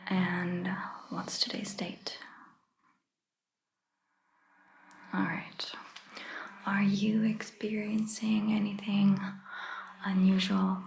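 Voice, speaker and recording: A woman playing a calm, caring vampire doctor in a soft ASMR roleplay, whispering gently and reassuringly with long pauses. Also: mid-range voice, vocal fry, slight background noise, rough recording. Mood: calm, attentive.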